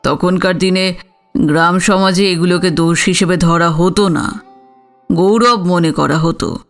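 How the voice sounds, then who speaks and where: An actor playing a dignified older village father-in-law in an audio story, proud and reflective, explaining himself in a measured, deliberate way. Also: high voice, fairly bright tone, smooth, full character voice. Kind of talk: Bengali audio story acting.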